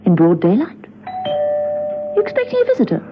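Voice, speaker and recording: A woman's voice: medium pitch, huge pitch swings, fairly quick. An actress playing a cool, clever woman secret agent in a radio drama, arch and observant. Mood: keen. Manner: arch, observant, playfully dry. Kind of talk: classic spy radio drama acting. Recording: dull, noisy old radio recording.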